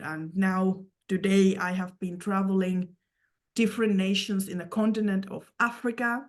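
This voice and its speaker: Slow-talking woman with a Finnish accent. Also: mid-range voice. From Finland. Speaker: interview guest, evangelist. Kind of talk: personal testimony in an interview.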